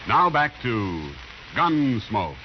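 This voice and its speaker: A man working as a commercial announcer, delivering a folksy 1950s radio sales pitch. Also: very animated, very slow. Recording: muffled, noisy old radio recording.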